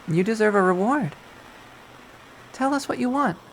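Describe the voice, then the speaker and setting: A man's voice: sits high, lively intonation, normal speed, a little hiss or hum, voice pushed up, low-quality recording. A man voicing a commanding woman with sweet cruelty, coaxing and teasing in a visual novel. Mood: sweetly domineering. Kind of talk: dramatic visual novel readthrough.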